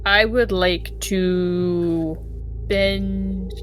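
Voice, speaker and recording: A woman with a fairly low voice speaks as a player in a casual tabletop game. Her delivery is very slow, measured and a little halting, with music behind her. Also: fairly even intonation, low-quality recording.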